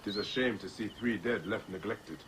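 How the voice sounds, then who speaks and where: An actor playing a gruff, earnest protector of common folk in an ancient Egypt video game, speaking in a formal, direct manner. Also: male voice, lowish voice, brisk, background noise, lightly acted. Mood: grave, measured. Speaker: lead character. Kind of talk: dramatic video game acting.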